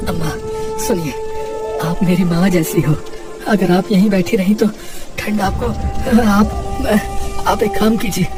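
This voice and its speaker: An actor playing a caring, protective poor village father in a cartoon, speaking in a gentle, earnest, coaxing way. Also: high-pitched, steady tone, slow, distant mic, loud music behind the voice. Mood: gentle concern.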